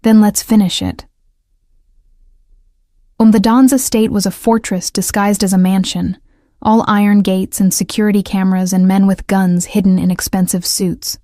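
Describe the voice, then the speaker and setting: A woman narrating a dramatic crime story in an even, measured reading. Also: medium pitch, no room sound, studio quality.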